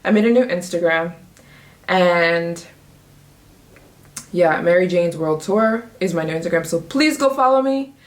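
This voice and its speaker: Twenties woman vlogging to her phone, jokey and bubbly, kinda low voice, laughs a lot. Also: a little slow, long pauses. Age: 20s. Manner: bubbly, joking, laughs often, jumps between topics. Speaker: travel vlogger. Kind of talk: casual chat to camera.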